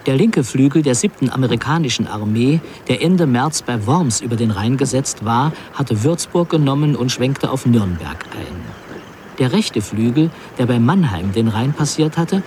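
An actor plays a sober male chronicler narrating a radio drama. His medium-pitched voice is measured, literary and factual. Clear ambient noise runs behind him. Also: animated, lightly acted. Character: sober male chronicler recounting the end of the war. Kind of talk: scripted narration in a radio play.

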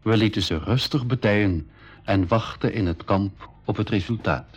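A man's voice: on the low side, moderate pace, lightly acted. A man playing a storyteller who narrates his own adventures in a scripted school radio play. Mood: calm narrating. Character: male storyteller recalling his jungle adventures. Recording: dull, lo-fi 1980s radio recording.

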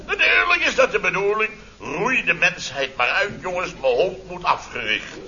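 Blustering male boss for radio comedy: forceful, swooping delivery, self-important, dull old recording. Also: sits high, expressive, moderate pace, slight background noise, exaggerated voice. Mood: sarcastic outrage. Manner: theatrical, exclamatory, sarcastic, mimics others in his telling.

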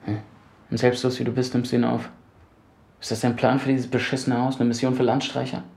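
An actor playing a jealous, sarcastic husband in a naturalistic radio drama, curt and needling. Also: male voice, medium pitch, flat delivery, a little fast, creaky, a little mellow, natural voice. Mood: irritated, scornful. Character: tense, jealous, sarcastic husband, an interior designer.

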